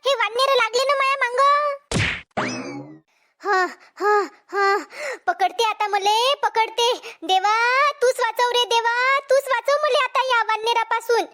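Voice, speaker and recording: Very high-pitched Vidarbha village wife. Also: female voice, slow, a bit bright, full character voice. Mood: panicked. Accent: Vidarbha Marathi. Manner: dramatic, complaining, repeats herself. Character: flustered, scolding village farm wife, cartoon comedy. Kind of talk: cartoon comedy dialogue.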